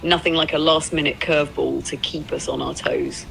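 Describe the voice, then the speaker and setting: A woman chatting casually as a supportive, upbeat co-driver companion, with a slightly creaky voice. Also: sits low, big pitch swings, normal speed, over loud music, poor audio. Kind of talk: casual encouraging chat.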